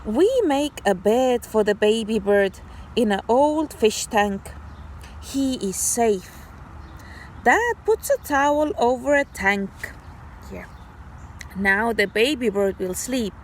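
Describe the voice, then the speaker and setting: A woman teacher reading a story aloud to young children in an animated, deliberate, child-directed way. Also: fairly high pitch, slight background noise. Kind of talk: expressive children's read-aloud.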